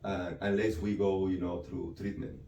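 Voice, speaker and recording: Man, low and mellow. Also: level tone, normal speed, creaky, muffled recording. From Peru. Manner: explanatory, frequent "right?" and "you know" tags. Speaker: psychiatrist. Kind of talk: interview answers. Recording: echoey room, mic from a distance, faint noise.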